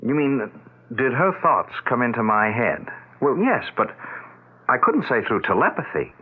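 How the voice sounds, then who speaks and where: Protective, doubting grown son, blunt and highly animated, seventies radio play with narrow tinny sound. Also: male voice, normal speed, husky. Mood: puzzled, skeptical. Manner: questioning, blunt. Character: skeptical, protective adult son and young father. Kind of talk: 1970s radio drama acting. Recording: tinny, phone-like vintage radio broadcast.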